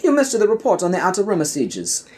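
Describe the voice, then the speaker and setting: Guy doing earnest jedi mentor, formal, worried, voice pitched up, audio play spoof. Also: huge pitch swings, a little mellow, slight background noise. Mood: formal briefing. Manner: measured, formal.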